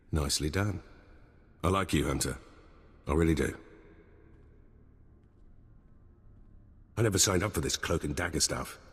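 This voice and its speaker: An actor playing a cocky, scheming intelligence captain in a video game, breezy, sardonic and theatrical. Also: male voice, extremely low pitch, normal speed, slightly rough, in a large hall, full character voice. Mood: amused, confiding.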